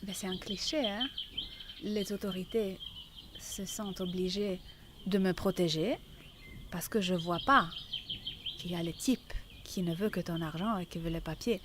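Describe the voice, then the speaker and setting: A woman's voice: lowish voice, steady tone, normal speed, pauses often. A middle-aged woman from Italy, giving emphatic, conversational personal testimony amid birdsong and background noise. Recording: clear outdoor noise with birdsong. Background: birdsong and ambience.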